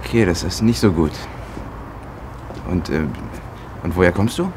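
A man in his twenties playing a friendly, easygoing German flatmate, speaking relaxed with hesitant fillers. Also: deep voice, measured pace, background noise. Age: 20s. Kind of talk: scripted German-learning drama.

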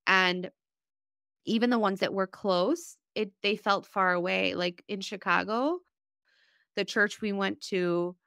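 Podcast guest: woman in her 30s, Bosnian-born, earnest personal storyteller, bright and clear. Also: medium pitch, steady tone, takes it slowly, no room sound, clean studio sound. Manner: earnest, rambling, emotional storytelling. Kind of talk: candid personal conversation.

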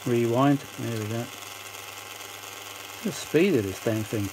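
A man's voice: mid-range voice, slow. British man muttering over noisy background. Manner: rambling, muttering to himself, self-mocking. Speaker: vintage audio repair hobbyist and video maker. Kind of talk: casual off-the-cuff repair commentary. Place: indoor workshop bench. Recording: steady machine noise behind voice.

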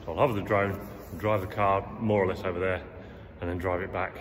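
Middle-aged man, English accent, deep voice, casual vlog, faint background hiss. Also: little pitch movement, fairly quick. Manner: chatty, matter-of-fact, talking through each step. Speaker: vlogger. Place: large empty derelict warehouse, indoors. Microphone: handheld camera at arm's length. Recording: long echo in a big empty warehouse.

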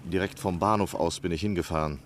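A man plays an eager literary critic in a radio play, genteel and obsessed. His voice sits low and speaks with earnest ardour. Light water and boat noise runs behind him. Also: steady tone, fast, close to an everyday voice. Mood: hushed excitement. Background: water and boat ambience.

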